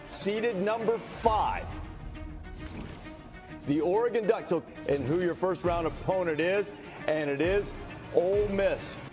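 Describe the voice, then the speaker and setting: Male TV announcer, high voice, music bed. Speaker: broadcast announcer. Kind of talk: televised rankings announcement. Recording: broadcast clip over music.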